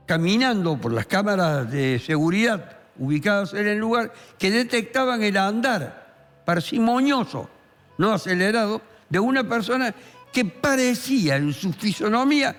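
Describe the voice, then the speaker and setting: An Argentine man, a criminal lawyer and columnist, telling a court case in a deliberate, theatrical way with emphatic pauses. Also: high-pitched, a bit bright. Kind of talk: dramatic storytelling of a court case. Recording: long reverberant hall sound, faint music behind.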